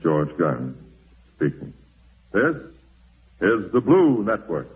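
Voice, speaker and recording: A male announcer reading formally in the style of a 1940s radio broadcast, with measured diction. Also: sits low, wildly swooping pitch, narrow, tinny audio, faint music behind.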